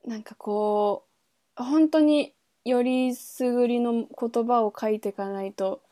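A woman's voice: fairly high pitch, a little slow, dark tone. A woman podcast host in a casual book chat, thinking aloud in trailing, loosely linked phrases. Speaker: podcast host reviewing a novel.

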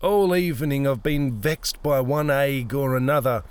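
A man's voice: mid-range voice, lively intonation, measured pace. Man doing an unhinged, melodramatic young fop. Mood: whining, posh. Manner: affected, melodramatic. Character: foppish, whining, menacing unhinged man in his twenties.

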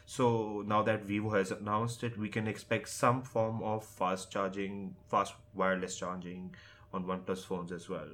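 A man's voice: lowish voice, level tone, normal speed, fairly bright tone, slight background noise. A man co-hosting a casual tech podcast, thinking aloud with some hesitation as he talks. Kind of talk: casual tech and gaming discussion.

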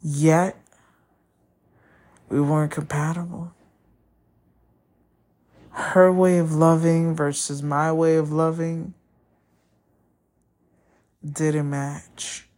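Slow, low-voiced woman speaking openly. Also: level tone, darkish tone. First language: Spanish. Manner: candid, searching for words. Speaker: podcast host. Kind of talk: personal monologue.

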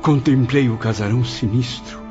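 A man's voice: mid-range voice, normal speed, close to an everyday voice. An actor playing the anguished, proud spirit of a writer who took his own life, with emphatic literary delivery in a radio drama. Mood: haunted. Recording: muffled radio drama with music behind.

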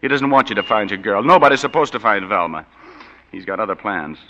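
Tough-talking wisecracking detective, kinda lowish and super bright, old muffled radio with reverb. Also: male voice, lively intonation, a little fast, a little hiss or hum. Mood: wry, tough. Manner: dry, sardonic wisecracks. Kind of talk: 1940s radio drama with first-person narration. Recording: muffled 1940s radio broadcast with long reverb.

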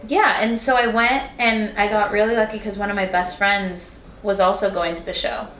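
A woman's voice: medium pitch, steady tone, normal speed, a bit bright, roomy sound, poor audio. A young woman singer in a casual interview, talking in a chatty way, full of "like" and little acted-out quotes.

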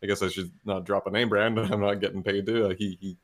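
A man's voice: on the low side, flat delivery, quick pace, crowd noise. A man co-hosting a casual movie podcast, rambling with lots of restarts and frequent laughter. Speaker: podcast co-host. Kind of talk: casual movie review chat.

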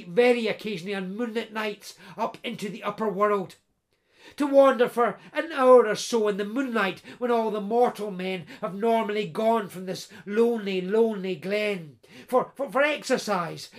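A storyteller voicing a sorrowful young bride trapped in a fairy underworld, speaking softly and hesitantly with repeated words. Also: mid-range voice, moderate pace, lightly acted.

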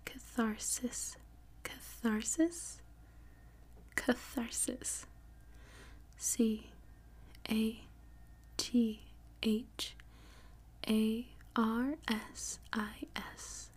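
A woman podcast host whispering softly in an ASMR style, breathy and unhurried, reading out and repeating words. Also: fairly high pitch, fairly even intonation. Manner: soft, unhurried, repeats and spells words slowly.

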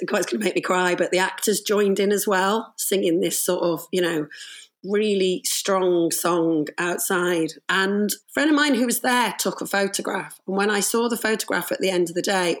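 A woman with a slightly croaky voice, telling stories about making radio drama in a relaxed podcast chat. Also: on the low side. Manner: warm storytelling, emotional at moments. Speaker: radio drama producer and director. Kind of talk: casual podcast conversation.

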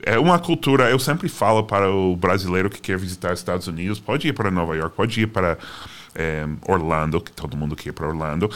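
Low-voiced American man chatting. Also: a bit bright. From Boston, United States. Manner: joking, chatty, many restarts. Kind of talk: casual chat.

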